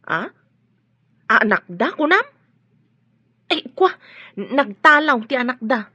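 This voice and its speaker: A woman's voice: high-pitched, big pitch swings, slow pace, pushed and bright, breathy edge, no room sound, dull audio, full character voice. A woman playing a nosy, chatty older household helper in an Ilocano radio drama. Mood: curious, concerned.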